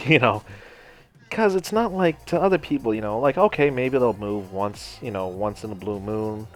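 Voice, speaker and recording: A man's voice: fairly low pitch, animated, moderate pace, soft background music. A young man from Hawaii casually telling a personal story over gameplay, rambling with lots of "you know" and "like" and some swearing. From Hawaii, United States. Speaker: gaming channel host.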